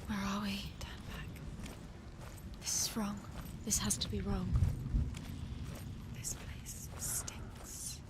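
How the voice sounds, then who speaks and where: A woman playing an anxious, taunting inner voice in a game, breathy, urgent and fragmented in delivery. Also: mid-range voice, flat delivery, slow pace, long pauses, whispered, lightly acted. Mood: uneasy, fearful. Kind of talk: dramatic game voice acting.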